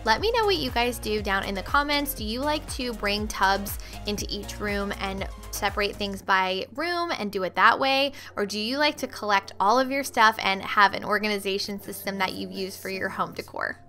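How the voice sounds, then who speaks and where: A woman's voice: medium pitch, animated, moderate pace, few pauses, bright, forceful tone, soft background music. A young woman narrating her cleaning vlog in a friendly, chatty voice-over, talking straight to her viewers. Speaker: cleaning vlogger.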